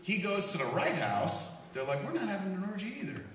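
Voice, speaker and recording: A man's voice: high-pitched, very animated, fast. A man hosting a live comedy podcast, reading news stories aloud and riffing on them with profane, mocking voices. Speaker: podcast host. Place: campus stage before a live audience. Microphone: hand-held camera recording from the audience. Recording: echoey hall, camera from far off. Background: laughing crowd.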